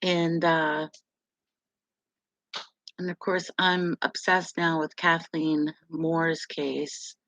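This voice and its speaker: Slow, gravelly-voiced woman chatting on stream. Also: flat delivery, a bit bright, dry recording, poor audio. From West Virginia, USA. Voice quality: deep, gravelly voice. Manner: slow, rambling, laughs often. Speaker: live stream host. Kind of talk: casual live chat with viewers.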